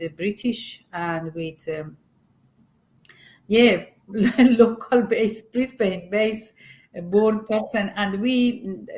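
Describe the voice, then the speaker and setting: Middle aged persian accented woman in an interview, medium pitch, keeps stopping to search for words. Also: a little mellow. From Iran. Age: middle-aged or older. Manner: halting, searching for words in non-native English. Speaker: arts group representative being interviewed. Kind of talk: interview, explaining her work.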